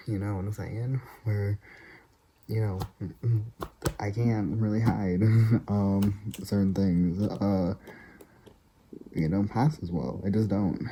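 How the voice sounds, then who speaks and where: A man vlogging in a casual, rambling way, full of fillers and frequent soft laughs. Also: fairly low pitch, a little hiss or hum, poor audio.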